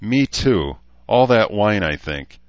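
A man's voice: on the low side, animated, slow pace, no room sound, muffled recording, voice pushed up. A man voicing a vulnerable, hesitant human woman who gives short answers in an audiobook story. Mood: shy, tired.

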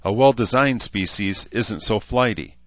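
A man's voice: lowish voice, a little slow, a bit bright, dry recording, dull audio, pitched above the performer's own voice. A man voicing a blunt, wise but caring elf woman who talks in clipped, broken phrases, in an audiobook story. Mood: blunt, intense.

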